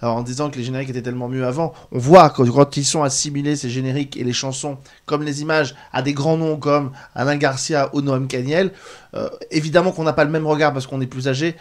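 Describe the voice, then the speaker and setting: A man's voice: mid-range voice, fairly even intonation, quick pace. A man hosting a conversational interview, presenting and steering the discussion. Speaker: show host.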